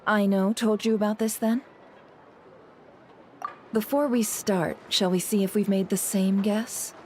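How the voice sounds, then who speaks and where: A composed, mid-pitched woman of intrigue, voiced by an actress for a video game. She is shrewd and coolly in control, measuring out her words. Light ambience lies underneath. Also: steady tone, a little slow, darkish tone, slight background noise, lightly acted. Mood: knowing.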